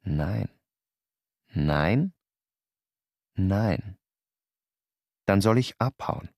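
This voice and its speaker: Low gravelly man narrating a radio play. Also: animated, drawn-out words, darkish tone, no echo, clean studio sound. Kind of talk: first-person radio drama narration.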